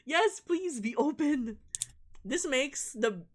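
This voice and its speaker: A woman's voice: high voice, expressive, takes it slowly, slight background noise. A woman livestreaming a visual novel, reading it aloud and reacting in a giddy, exclamatory, dramatic way. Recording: music behind the voice. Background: game background music.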